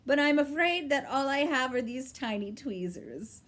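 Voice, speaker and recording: A woman voicing a smooth, overly polite gentleman in a storybook reading, genteel in manner. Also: high voice, lively intonation, normal speed, pitched above the performer's own voice.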